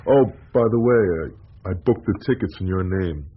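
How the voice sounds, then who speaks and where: A deep, husky-voiced priest speaks on a slightly dull radio drama recording. He is sober and stubborn, measured in his words and dryly direct. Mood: dry, matter-of-fact.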